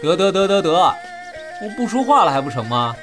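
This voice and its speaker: A woman's voice: fairly low pitch, very animated, moderate pace, music in the background. A woman voicing a playful, clingy young man who whines and wheedles in an audio drama. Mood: pouty. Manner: wheedling, pouty.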